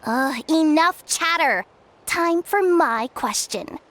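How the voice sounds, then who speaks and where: Snooty little game mushroom, high and slow, swoopy pompous talk. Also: female voice, lively intonation, a bit bright, full character voice. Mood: haughty, impatient. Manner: pompous, formal, condescending. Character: haughty talking mushroom sage's servant, testy riddle-giver.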